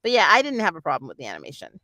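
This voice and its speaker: A woman with a bright, forward voice gives a casual, rambling review to her viewers. She talks informally and animatedly, softening her opinions as she goes. The sound is dry, with very little room in it. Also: fairly low pitch, wildly swooping pitch, rapid-fire, no room sound. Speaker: anime reviewer and vlog host.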